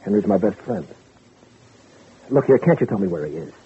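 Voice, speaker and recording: A man's voice: lowish voice, lively intonation, normal speed, mellow, breathy edge. An actor playing a guilty man who feigns loyal innocence, guarded and placating, in a 1940s radio drama. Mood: feigned concern. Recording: old radio recording, narrow band.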